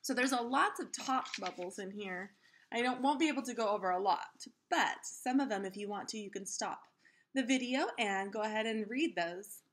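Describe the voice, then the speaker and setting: A woman reading a children's story aloud expressively, pausing to explain things to her listeners. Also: a little high, fairly bright tone, slight background noise. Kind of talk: children's read-aloud narration.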